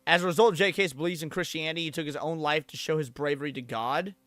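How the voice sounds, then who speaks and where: A man giving casual, crude reaction commentary as a streamer, thinking out loud, swearing freely and reading text aloud. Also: fairly high pitch, fast talker, no room sound, clean studio sound. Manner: crude, profane, opinionated.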